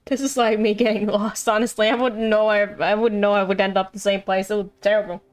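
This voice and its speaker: A woman's voice: medium pitch, little pitch movement, fast talker. A woman doing casual, playful live commentary as she streams a game, reacting as she goes. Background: game music.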